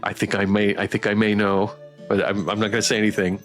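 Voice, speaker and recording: A man's voice: on the low side, monotone, fast talker, fairly bright tone, soft background music. A man hosting a casual livestream interview, speaking in an enthusiastic, encouraging manner. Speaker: stream host.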